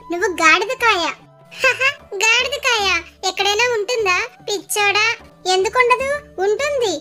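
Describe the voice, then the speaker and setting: A woman voicing playful, bickering young children in a cartoon story, excitable, teasing and singsong. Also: extremely high pitch. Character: squabbling young children, playful brother and sister.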